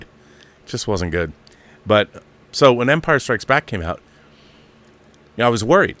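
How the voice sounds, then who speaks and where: Man, fifties, slightly deep voice, casual online host, off-the-cuff fan monologue, emphatic, repetitive phrasing. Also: fairly bright tone. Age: 50s. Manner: emphatic, repeats phrases for stress, mildly profane.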